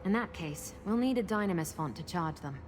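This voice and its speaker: An actress plays a thoughtful female adventurer in a game. Her voice sits a little low, and she explains things in a practical, conversational way. Music is clearly heard behind her. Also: lively intonation, fairly quick, lightly acted. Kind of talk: video game companion dialogue.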